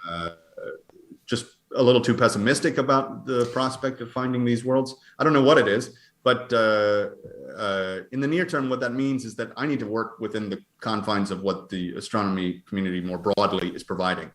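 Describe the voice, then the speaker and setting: A man answering interview questions conversationally as an astronomer, in a hesitant, halting, hedging manner. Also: fairly low pitch, steady tone, fairly bright tone. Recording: roomy, echoing sound.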